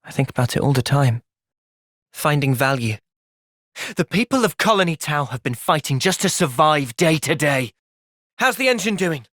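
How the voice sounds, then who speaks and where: A man with an English accent playing an earnest, thoughtful young soldier hero in a video game, speaking sincerely and with measured delivery. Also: on the high side, big pitch swings, fairly bright tone, dry recording, studio quality. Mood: calm, earnest. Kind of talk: video game cutscene voice acting.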